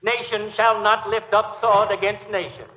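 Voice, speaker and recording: An actor playing an earnest, solemn preacher who reads scripture in a stately 1940s radio manner. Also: male voice, high voice, steady tone, normal speed, natural voice. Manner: stately, declamatory. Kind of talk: sermon in 1940s radio acting. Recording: echoey, dull, noisy old radio recording.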